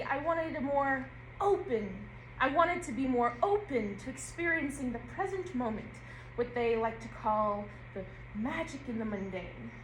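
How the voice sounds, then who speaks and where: A woman actor reading an audio play script at rehearsal in a measured storytelling way. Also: high voice, fairly bright tone. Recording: roomy and noisy rehearsal room.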